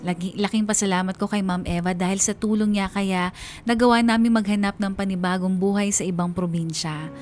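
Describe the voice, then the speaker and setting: A woman's voice: mid-range voice, steady tone, a little fast, a little mellow, faint music behind, natural voice. A woman playing a regretful, resilient mother who narrates her life story on radio in an earnest, confessional way. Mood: regretful, reflective. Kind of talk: dramatic first-person radio narration.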